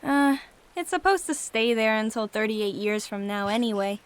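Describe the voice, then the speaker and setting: An actress playing a practical, quick-witted young girl adventurer in an audio drama, matter-of-fact and teasing. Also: on the high side, animated, clear, resonant tone, natural voice. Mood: focused.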